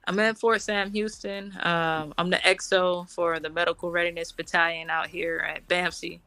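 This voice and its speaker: A middle-aged woman from Washington, D.C., an Army officer and guest in a casual interview. She talks in a relaxed, chatty way, laughs often and uses many fillers. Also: lowish voice, moderate pace, clear, resonant tone, no echo.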